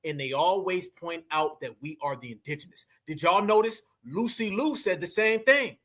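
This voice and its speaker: High, bright-voiced man preaching commentary. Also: normal speed. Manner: preachy, emphatic, addresses viewers directly. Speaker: commentary show host. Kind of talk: opinion commentary.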